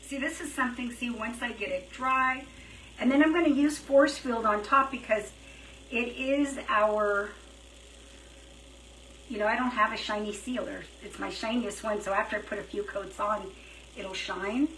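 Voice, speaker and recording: A fairly high-voiced woman talks her way through a craft project on a livestream, thinking out loud in a loose, chatty way, with a dry, slightly worn throat. She sits a little back from the mic in a roomy-sounding space, with faint hiss behind her. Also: normal speed, recorded from a distance. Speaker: craft live-streamer. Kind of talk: casual live craft stream chat.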